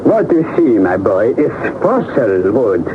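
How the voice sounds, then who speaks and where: An impetuous, eccentric older German uncle and professor from a 1960s radio serial. He is theatrical and exclamatory, his pitch leaping about. The old broadcast sounds thin and very noisy. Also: very animated, takes it slowly, phone-quality sound. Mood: lecturing. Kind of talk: 1960s British radio drama acting.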